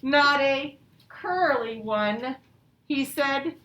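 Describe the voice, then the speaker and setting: A high-voiced woman speaks as an elementary teacher, guiding young children through a lesson and storybook. She is instructive and often checks in with questions. The sound is roomy and a little far from the mic, with faint noise. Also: extremely slow, pushed and bright.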